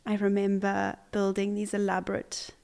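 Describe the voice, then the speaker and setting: A young woman from Zimbabwe, an artist, answering interview questions in a relaxed way with many pauses, fillers and restarts. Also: mid-range voice, gravelly. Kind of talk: conversational interview.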